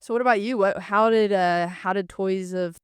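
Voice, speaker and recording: A man with a smooth, clear voice pitched a bit high hosts a casual podcast. He talks in an earnest, somewhat halting way, and the sound is dry. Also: fairly even intonation, no echo. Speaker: podcast host.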